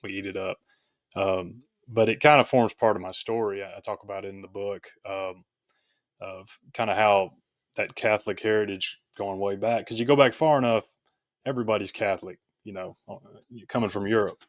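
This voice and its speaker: A man from the United States speaking as a podcast guest, explaining things in a conversational, halting, reflective way. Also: lowish voice, steady tone, no echo, studio quality. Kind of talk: conversational interview.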